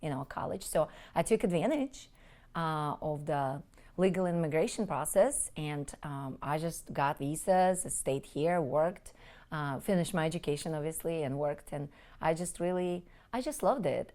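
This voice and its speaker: A woman in her forties from Crimea whose first language is Russian, answering interview questions earnestly with frequent pauses. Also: fairly low pitch, dark tone. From Crimea, Ukraine. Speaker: interviewee, relief group leader. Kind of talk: conversational interview.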